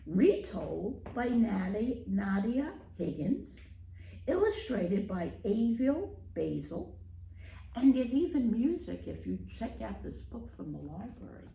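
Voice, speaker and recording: Casting: woman, children's storyteller, highish voice, lively swooping pitch, teacherly, roomy echo. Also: creaky, a little hiss or hum. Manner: teacherly, asks questions and pauses for answers. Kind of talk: read-aloud storytime for children.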